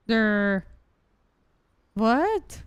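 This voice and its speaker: A woman's voice: a little high, big pitch swings, very slow, clear, resonant tone, no echo. A woman giving casual live-stream gameplay commentary, musing aloud in an informal way. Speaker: game streamer.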